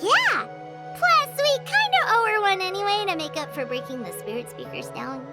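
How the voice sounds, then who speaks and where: A woman voicing a small, chirpy, opinionated female fairy companion in a video game, with a squeaky childlike voice. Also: sing-song, normal speed, over loud music, heavily acted. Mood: cheerful, eager. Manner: refers to herself in third person, exclamatory.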